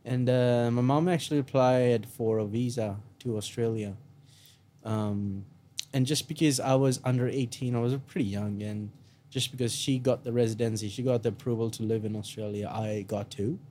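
A man's voice: medium pitch, steady tone, moderate pace, mellow, a little hiss or hum. A young man from India with an American-sounding accent, co-hosting a casual podcast chat and swearing freely. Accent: American-sounding, picked up in India. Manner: swears freely, laughs often. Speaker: podcast co-host.

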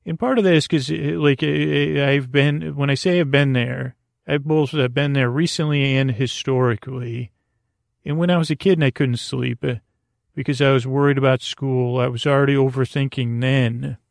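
A man with a gravelly, creaky voice hosts a bedtime podcast. He rambles hesitantly and reassuringly, with a gently silly streak. The sound is close-miked and dry, with almost no room around it. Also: mid-range voice, steady tone, normal speed, no room sound. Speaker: podcast host. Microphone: close to the microphone.

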